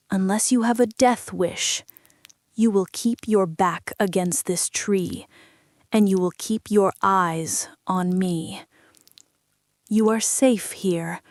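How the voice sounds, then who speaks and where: Woman playing a slow, seductive, commanding crime heiress. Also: medium pitch, darkish tone, dry recording, clean studio sound. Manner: slow, deliberate, intimate, often dropping into whispers. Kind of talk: ASMR roleplay, scripted dramatic monologue.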